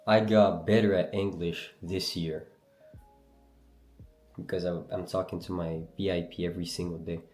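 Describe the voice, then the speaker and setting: A man's voice: low voice, little pitch movement, moderate pace, long pauses, a little mellow, echoey room, soft background music. A young man from Algeria, raised in Canada, with a French Canadian accent, casually chatting and answering viewer questions on a trading livestream. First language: Berber language. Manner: loose, slangy, reads and answers chat. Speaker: livestream trader host.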